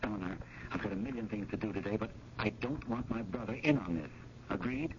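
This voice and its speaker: An actor playing an earnest, anxious museum curator in a 1970s radio drama, speaking with formal, insistent urgency. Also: male voice, fairly low pitch, fast talker, highs cut off, close to an everyday voice. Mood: brisk, agitated. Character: John, earnest, anxious male museum curator pursuing a painting. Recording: noisy, band-limited 1970s radio broadcast.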